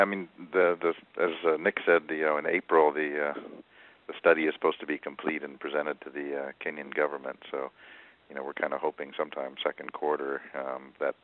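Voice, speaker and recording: A man with a very deep voice answers questions on a conference call, hesitating and restarting his sentences often. He talks in a flat, even tone. The sound is tinny, like a phone line. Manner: halting, many fillers and restarts. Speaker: oil company executive answering analysts.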